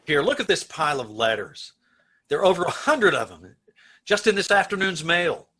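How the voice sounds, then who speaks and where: Bright, forceful, earnest prison warden played by a man. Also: on the high side, big pitch swings, moderate pace, breathy edge, exaggerated voice. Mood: urgent, pleading. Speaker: amateur radio-troupe actor. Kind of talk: old-time radio play acting.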